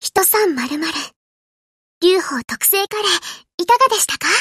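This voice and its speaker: A woman voicing a gentle, eager young ship girl in an anime game, sweet, polite and earnest, a little hesitant. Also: very high-pitched, very animated, unhurried, no room sound, clean studio sound, exaggerated voice. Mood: cheerful, caring. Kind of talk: Japanese anime game character voice lines.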